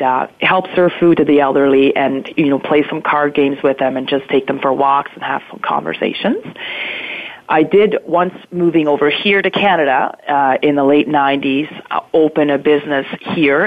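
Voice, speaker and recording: A woman in her thirties from Switzerland, answering interview questions hesitantly, with frequent ums and uhs. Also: low voice. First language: Swiss German. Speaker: guest. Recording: tinny phone line.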